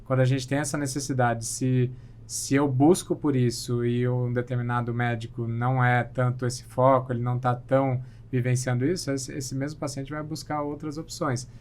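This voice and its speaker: A man's voice: medium pitch, little pitch movement, moderate pace, a little mellow. A Brazilian man, a surgeon guest on a podcast, answering thoughtfully with frequent restarts. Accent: Brazilian Portuguese. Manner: thoughtful, hesitant, many restarts and fillers. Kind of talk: interview answers.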